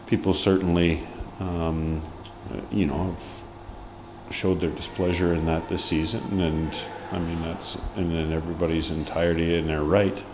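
A man in his forties speaks as a hockey head coach after a match. His voice sits very low, and he talks in halting bursts, with many ums, restarts and frank remarks. A steady hum of noise runs under the recording in a press room. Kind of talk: post-match press interview. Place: indoor press room before a sponsor board.

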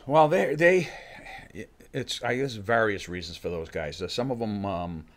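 A man's voice: on the low side, lively intonation. An older man, a fight coach, talking in a podcast studio and often restarting his sentences. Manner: halting, many restarts, acts out fighters' thoughts. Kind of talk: casual interview talk. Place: studio room with bookshelves. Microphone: studio mic on a boom arm.

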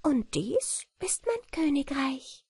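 Storybook fairy queen, woman performer: small, gracious, playful, high and lightly inviting. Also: sing-song, slow pace, warm tone, no echo, studio quality, exaggerated voice. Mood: proud, cheerful. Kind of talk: storybook character reading.

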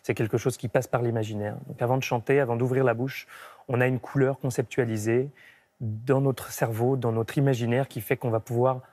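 A man's voice: medium pitch, fairly even intonation, fairly quick, a little mellow. A man in his thirties, an opera tenor, answering questions reflectively in a TV studio interview, often restarting his sentences. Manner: thoughtful, reflective, with frequent restarts. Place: TV studio set. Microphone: broadcast mic, medium shot.

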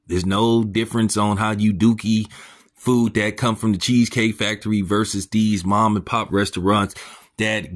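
Host, male, very low voice, blunt mocking rant, crude commentary. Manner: pitch jumps up when incredulous. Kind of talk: ranting commentary monologue.

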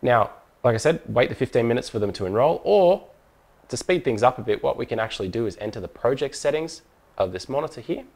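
A man's voice: mid-range voice, animated, fast talker, creaky, darkish tone. A man presenting a hands-on product tutorial in an informal, methodical, step-by-step way.

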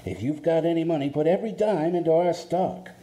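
Man playing muffled-dark, brash young businessman. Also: a little high, a little fast, close to an everyday voice. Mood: pushy, excited. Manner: hearty, boastful. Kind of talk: radio play acting.

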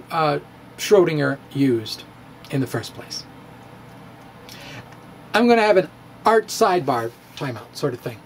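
A middle-aged man reads his physics blog aloud in a playful, theatrical way. His pitch swings widely and he takes his time. A close studio microphone in a book-lined home study picks him up over a faint hum. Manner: playful, theatrical, with chuckles and asides. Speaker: physics blogger. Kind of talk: dramatic reading of his own blog. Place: home study with bookshelves, indoors. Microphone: studio microphone on stand, close.

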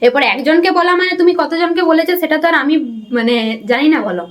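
Very high-pitched, fast-talking young woman, accusing. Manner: accusing, insistent, repeatedly seeking agreement. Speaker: prank caller. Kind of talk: confrontational phone conversation.

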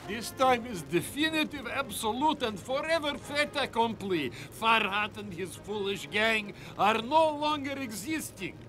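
High, pompous Russian cartoon villain. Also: male voice, big pitch swings, a little slow, hardly any pauses, music in the background, full character voice. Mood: gloating. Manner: boastful, broken English. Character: gloating, pompous middle-aged male villain, grandiose schemer.

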